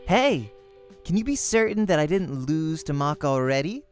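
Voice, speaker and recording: A man's voice: fairly high pitch, big pitch swings, normal speed. Casting: friendly male esports player, acted by a man reader, casual and teasing, visual novel game, faint music behind. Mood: breezy, teasing. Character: friendly, easygoing male pro gamer, encouraging rival.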